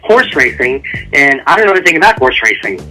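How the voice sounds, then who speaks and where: A man's voice: medium pitch, sing-song, fast talker, sharp tone, soft background music, poor audio. A man speaking as an author guest in a casual interview, rambling and conversational.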